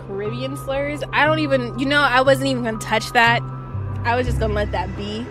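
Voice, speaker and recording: A woman with a fairly high voice chats casually while livestreaming a game. Her talk is halting and exclamatory, with phrases started and repeated, over clearly audible music. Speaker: live streamer playing a game. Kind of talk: casual livestream chat with viewers.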